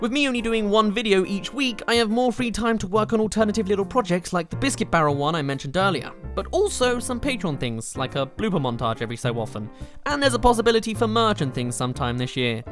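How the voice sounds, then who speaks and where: Animated, higher-pitched man reviewing games. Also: quick pace. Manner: enthusiastic, emphatic. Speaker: video game reviewer and channel host. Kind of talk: scripted countdown review narration. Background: music.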